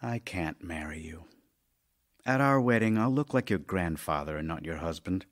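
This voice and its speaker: A man's voice: deep voice, expressive, moderate pace. A man playing a middle-aged writer, wry, teasing and affectionate, in a theatrical audio drama. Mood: wry, tender. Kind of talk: audio drama acting, letters read as speech.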